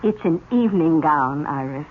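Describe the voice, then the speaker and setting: Gullible gentle middle-aged aunt, old radio drama, phone-thin sound with a bit of hiss. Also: female voice, deep voice, animated, moderate pace. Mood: soothing, hopeful. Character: credulous, doting middle-aged aunt searching for lost niece. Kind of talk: 1970s radio drama acting. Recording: tinny old radio broadcast, faint hiss.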